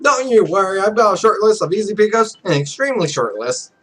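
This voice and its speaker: A man voicing a cocky, joking young esports pro from a visual novel game, with animated, wry storytelling. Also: high-pitched, fairly quick, full character voice. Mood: playful.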